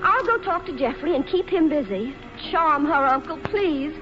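A woman's voice: sits high, expressive, normal speed. A woman playing an anxious, determined young niece, urgent and coaxing, in 1940s radio drama style. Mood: urgent, pleading. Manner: urgent, pleading. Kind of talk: 1940s radio play acting. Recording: dull old radio recording.

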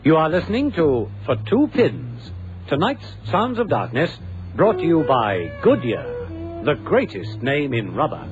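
Casting: theatrical vintage radio announcer, male, very animated, loud music behind. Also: a little high, measured pace. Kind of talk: dramatic scripted sponsor announcement. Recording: muffled old radio recording under music.

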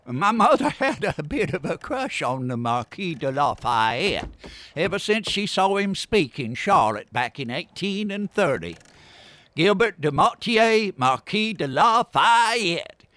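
Slow, genial frontier storekeeper. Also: male voice, sits high, sing-song, fairly bright tone, no echo, full character voice. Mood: genial, chatty. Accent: Southern US. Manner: leisurely, folksy. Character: genial, talkative frontier trading-post storekeeper. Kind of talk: radio drama acting.

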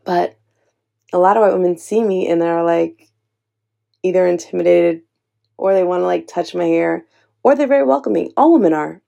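Husky-edged warm woman talking earnestly. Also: on the low side, moderate pace, long pauses, highs cut off. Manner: earnest, encouraging, addresses listener as sis. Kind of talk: heartfelt personal talk to camera.

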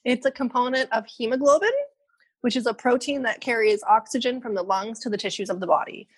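Young woman with a very breathy voice. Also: a little high, fairly even intonation, a little fast. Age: 20s. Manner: laughing, swearing, reading facts aloud. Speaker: podcast co-host. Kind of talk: casual banter podcast.

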